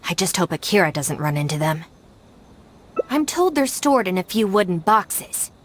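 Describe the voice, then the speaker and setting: An actress playing a stern, capable young female gang deputy in a video game, speaking in a crisp, matter-of-fact way. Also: lowish voice, very animated, moderate pace. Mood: focused, matter-of-fact.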